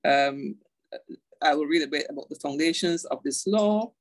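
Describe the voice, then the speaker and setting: A woman with a Barbadian accent giving an online lecture as guest speaker, measured, with frequent um fillers, partly reading aloud. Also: low voice, no echo. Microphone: video call.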